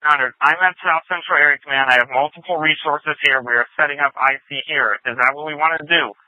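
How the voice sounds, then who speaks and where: A man's voice: on the high side, a little fast, no echo. A man acting as police incident commander, speaking decisively and in order over a police radio. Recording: phone-like police scanner radio audio.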